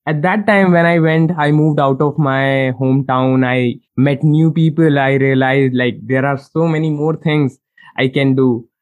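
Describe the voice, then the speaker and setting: A man's voice: medium pitch, steady tone, measured pace, narrow, tinny audio. A man from India hosting an interview, talking in a friendly, chatty way and laughing between phrases. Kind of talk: casual interview chat.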